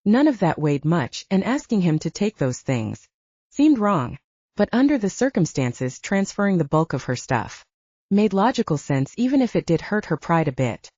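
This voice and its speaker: Mellow, husky woman narrating fiction. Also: fairly low pitch, sing-song, moderate pace, no echo, muffled recording. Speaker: audiobook narrator.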